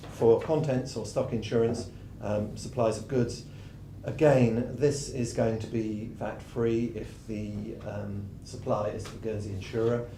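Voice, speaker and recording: A man giving a conference presentation on tax, his voice going hoarse as he explains points steadily with frequent ums and clears his throat. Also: a little mellow. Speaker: tax adviser presenting a session. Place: conference room. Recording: echoey room with rumbling noise.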